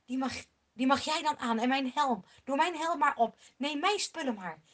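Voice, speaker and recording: A woman voicing a king in a told Bible story, hesitant and a little halting as he offers his own armour. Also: high-pitched, close to an everyday voice. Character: older male king, hesitant but generous.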